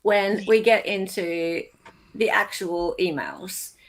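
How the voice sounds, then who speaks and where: A woman's voice: mid-range voice, slow pace, low-quality recording. A woman with an Australian accent hosting a casual live show, playful and exclamatory. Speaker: host.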